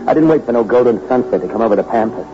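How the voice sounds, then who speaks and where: A man's voice: mid-range voice, lively intonation, rapid-fire, natural voice. Man acting hardboiled, vengeful hoodlum in radio drama. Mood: hard, brooding. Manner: tough slangy wisecracking delivery. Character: hardboiled vengeful small-time hoodlum, bitter and tough. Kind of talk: 1960s radio crime drama acting with first-person narration. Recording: dull old radio recording with music behind.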